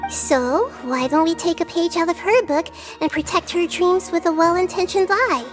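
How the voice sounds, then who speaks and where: A woman voicing a small, gentle, wise childlike goddess in a video game, composed and articulate, with a soft girlish voice. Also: extremely high pitch, moderate pace, faint music behind. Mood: sympathetic. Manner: composed, articulate, kindly explaining.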